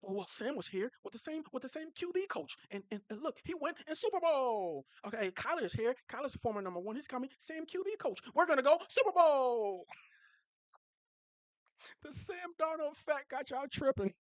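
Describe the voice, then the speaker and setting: A young man giving a casual, animated fan reaction, repeating himself for emphasis and laughing as he rants. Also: extremely high pitch, moderate pace, dry recording. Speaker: sports fan vlogger.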